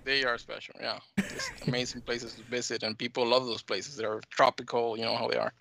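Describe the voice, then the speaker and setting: A middle-aged man with a mixed Dominican and American accent, answering interview questions in halting, conversational phrases. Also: medium pitch, flat delivery, creaky, a crowd in the background. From United States and Dominican Republic. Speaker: talk show guest, flight sim scenery developer.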